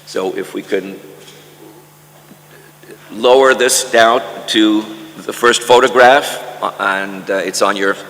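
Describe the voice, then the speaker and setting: Older man, bright forceful voice, from Canada, scripted memoir lecture, deliberate rhetorical delivery, long hall reverb. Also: fairly low pitch, fairly even intonation. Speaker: guest lecturer reading a memoir. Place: large indoor conference hall.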